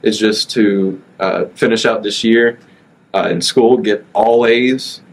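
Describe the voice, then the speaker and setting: A nineteen-year-old man talking as a guest on a podcast, relaxed, often pausing with fillers and restarting his sentences. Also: lowish voice. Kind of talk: casual interview chat.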